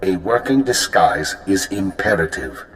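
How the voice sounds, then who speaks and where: An actor playing a stern, measured intelligence handler giving briefings in a video game, formal and precise. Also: male voice, sits low, expressive, slight background noise, poor audio. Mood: cool, serious.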